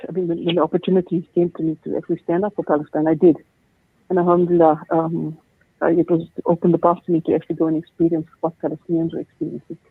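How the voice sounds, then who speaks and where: A woman in her fifties speaks as an interview guest, stopping and restarting her sentences. Her voice is husky and held to a narrow, flat pitch range. It comes over a dry, tinny, phone-like line. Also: dry recording. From South Africa. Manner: halting, many restarts and repeated words. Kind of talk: personal testimony in an interview.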